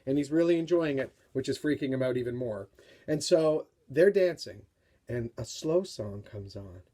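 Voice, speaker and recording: A middle-aged man giving an author's talk and read-aloud for young readers, telling it in an animated, dramatic storyteller's manner. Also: medium pitch, normal speed. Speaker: children's author reading from his book.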